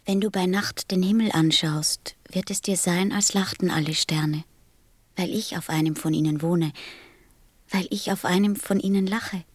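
Radio play role: gentle, wistful small boy voiced by a woman, hushed breathy delivery, very dry sound. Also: on the low side, steady tone, moderate pace, no room sound, lightly acted. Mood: tender, consoling. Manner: tender, solemn, simply spoken. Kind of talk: German radio play acting.

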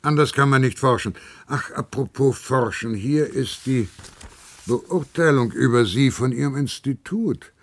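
A male actor playing a blunt, patronizing older professor of medicine in a German radio play, with a husky voice. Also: mid-range voice, unhurried. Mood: amused, cynical. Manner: patronizing, pragmatic, lecturing.

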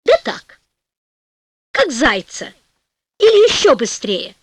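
A woman's voice: sits high, huge pitch swings, slow pace, breathy edge, exaggerated voice. A woman voicing a cocky, penniless nobleman in a storybook tale, with a theatrical, mock-courteous and taunting manner. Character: cocky, penniless nobleman, polite and cunning trickster.

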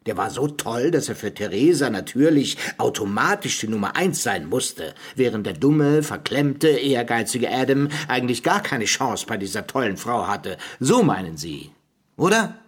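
An actor playing an ambitious, defensive politician with a bitterly sarcastic, indignant manner in a radio drama. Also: male voice, medium pitch, big pitch swings, moderate pace, husky. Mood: bitter sarcasm. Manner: indignant, sarcastic, swinging from hushed to loud.

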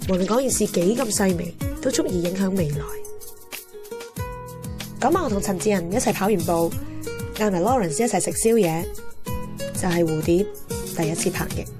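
A woman's voice: medium pitch, normal speed, pauses often, natural voice. Woman acting a lovelorn young Hong Kong heroine, music behind. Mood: reflective. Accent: Hong Kong Cantonese. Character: lovelorn young woman narrating her romance, wistful and candid. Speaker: narrator and lead character. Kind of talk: radio drama narration and dialogue.

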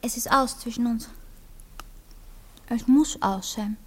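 A high-voiced young Austrian woman in a radio drama. She is flippant and coquettish, teasing her lover with breezy, capricious self-assurance. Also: takes it slowly, darkish tone. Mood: hesitant, resolute. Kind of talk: radio play dialogue.

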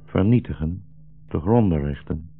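Old rabbi in a vintage radio drama, low voice, solemn n earnest, dull old recording. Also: male voice, lively intonation, gravelly, breathy edge, faint music behind, heavily acted. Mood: gentle, solemn. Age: older. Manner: measured, solemn, formal phrasing. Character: old blind rabbi, dignified, earnest, protective. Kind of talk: 1960s Dutch radio play acting.

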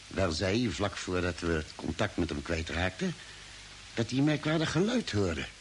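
An actor playing a calm, reasoning spaceship doctor in a science-fiction radio drama, speaking deliberately and probingly. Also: male voice, low-pitched. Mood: grave. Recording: hissy old radio drama recording.

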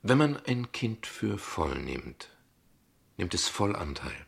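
Radio drama casting: a defensive, courteous father, deep and creaky, dry irony in formal phrasing. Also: big pitch swings, exaggerated voice. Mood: defensive, cool. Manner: dry, ironic, formal, deliberate. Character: guarded, ironic father, courteous but defensive. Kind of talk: German radio play dialogue acting.

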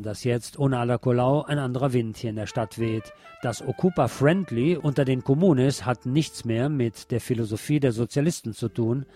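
A man's voice: mid-range voice, darkish tone. A man presenting scripted local radio news as a narrator. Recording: music playing behind the voice. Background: background music.

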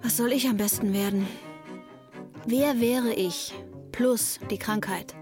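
A woman's voice: a little high, takes it slowly, music in the background. An actress playing an anxious, wry teenage girl narrating her thoughts pensively in a radio drama. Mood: brooding, wry.

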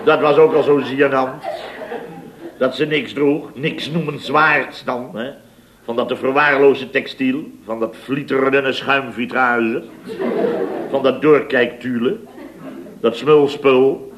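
A man's voice: medium pitch, steady tone, slow, a crowd in the background, lightly acted. Radio comedy: indignant, flustered chatterbox man played by a male actor, medium register, stammering and repetitive, before a live audience. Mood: sheepishly amused. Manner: rambling, repetitive storytelling with stammers and rhetorical tags. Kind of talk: radio comedy acting in a dialogue sketch. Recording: radio recording with audience behind.

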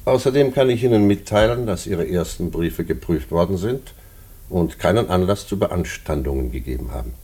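An actor in a German radio play playing a cold, pedantic prison director with a dark, husky voice and formal manner. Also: male voice, deep voice, animated, moderate pace, heavily acted.

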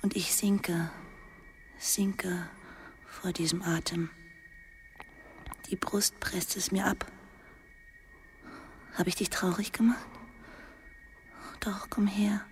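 Woman playing a slow, whispering, dying schoolmistress. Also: medium pitch, fairly even intonation, slight background noise, natural voice. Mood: anguished, faint. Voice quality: breathy, half-whispered. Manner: slow, hushed, confiding, tender. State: weak, dying (acted). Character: dying, sorrowful, tender schoolmistress confiding in her pupil. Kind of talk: intimate literary radio drama.

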